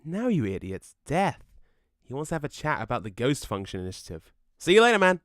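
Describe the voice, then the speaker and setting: A man's voice: on the high side, big pitch swings, fairly quick, dry recording, clean studio sound. A man playing an eager, loyal ghost underling in an audio drama. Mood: brisk, cheeky. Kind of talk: scripted audio drama acting.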